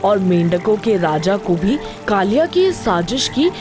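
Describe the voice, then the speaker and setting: A woman's voice: medium pitch, big pitch swings, a little slow. A woman narrator reading a scripted moral story for children. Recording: music behind the narration.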